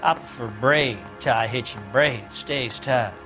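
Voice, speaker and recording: A man's voice: mid-range voice, a little slow, music in the background, natural voice. Man playing a folksy down-and-out ex-convict. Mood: sly, evasive. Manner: evasive, folksy drawling grammar. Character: down-and-out folksy ex-convict, sullen and slippery. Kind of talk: cinematic video game acting.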